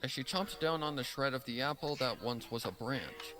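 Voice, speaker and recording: A man's voice: mid-range voice, moderate pace, sharp tone. A man reading scripted story narration as the narrator. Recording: music under the voice.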